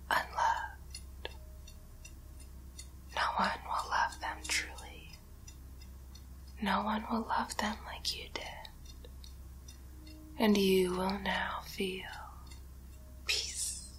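Vengeful ASMR witch acted by a young woman: whispered, unhurried, intense incantations, soothing yet spiteful. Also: medium pitch. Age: young adult. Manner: slow, hushed, incantatory repetition. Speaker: ASMR roleplay performer. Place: bedroom, indoors. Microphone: close mic, seated near camera.

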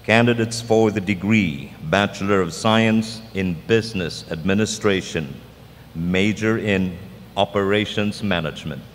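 A man with a very deep voice reads a formal presentation into podium microphones. His words ring out with a long hall reverb. Faint noise sits underneath. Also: measured pace. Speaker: college dean. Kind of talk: formal ceremonial address.

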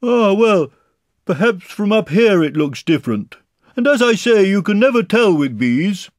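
A man's voice: high voice, sing-song, a little slow, no echo, clean studio sound, voice pushed up. A man voicing an earnest, scheming, slightly anxious toy bear in a children's storybook, with a ponderous, solemn manner. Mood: anxious, calling out. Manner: ponderous, solemn, calling out loudly. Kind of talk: children's storybook character reading.

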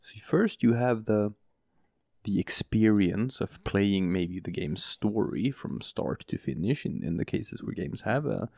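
A male podcast co-host with a booming voice, talking softly and slowly right next to the microphone in casual chat, often chuckling. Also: expressive, dry recording. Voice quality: booming, gravelly voice. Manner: soft, close-mic murmur, chuckles often. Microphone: close studio mic.

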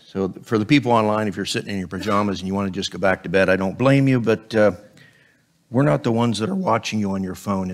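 Scratchy-voiced man preaching from a pulpit. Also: fairly low pitch. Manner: conversational, joking asides between scripture. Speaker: guest preacher. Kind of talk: sermon. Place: church stage at a pulpit. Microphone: headset mic.

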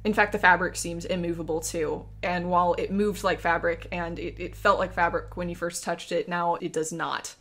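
A woman running a tabletop fantasy game as game master, narrating in measured, descriptive phrases like a storyteller. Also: on the low side, fairly even intonation, fairly bright tone. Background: background music.

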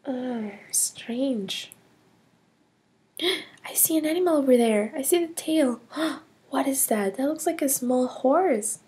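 A woman's voice: high-pitched, lively intonation, takes it slowly, a little mellow. Hannah, woman doing a gasping curious girl. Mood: puzzled. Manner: gasping, whispering wonder. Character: curious cheerful girl on a ski holiday. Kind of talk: toy play storytelling.

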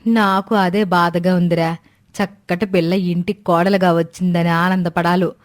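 A woman's voice: on the low side, level tone, a little slow, lightly acted. A woman voicing a stern, plain-spoken middle-aged mother-in-law in a family story, reproachful in manner. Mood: troubled. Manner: reproachful, scolding.

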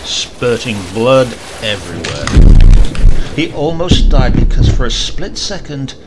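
A man giving a dramatic reading of a horror story, often dropping to a hushed whisper. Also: medium pitch, unhurried. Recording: loud steady hiss behind the voice.

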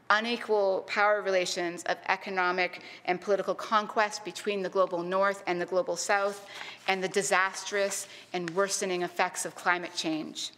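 A middle-aged woman from Canada delivers a prepared history lecture in a voice of medium pitch. She speaks in a measured, earnest way and leans on key words with deliberate emphasis. Her voice carries a long reverb, as in a lecture hall. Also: a bit bright. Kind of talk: reading a prepared public lecture.

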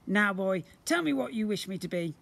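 A man voicing an excitable, theatrical shapeshifting slime creature in a children's storybook, grandiose and playful. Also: sits high, normal speed, vocal fry, pitched above the performer's own voice, exaggerated voice. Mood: grand. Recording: noisy outdoor handheld recording.

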